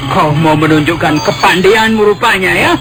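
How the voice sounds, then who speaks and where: Hot-headed warrior bully, high voice, blustering, threatening, radio drama, music underneath. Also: male voice, normal speed. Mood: insulted, threatening. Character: hot-tempered, arrogant male warrior bullying a rider on the road. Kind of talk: Indonesian radio drama acting.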